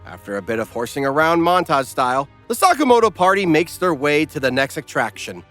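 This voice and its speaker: A man's voice: on the high side, sing-song, a little fast, a bit bright, faint music behind. A man narrating a scripted comedic recap, mock-dramatic with sarcastic asides.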